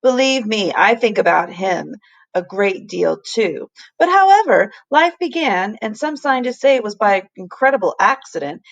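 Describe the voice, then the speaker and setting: Storybook professor played by a woman: earnest, kindly, explanatory, mid pitch with some fry. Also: low-quality recording. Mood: gentle, reflective. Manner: deliberate, explanatory, emphatic.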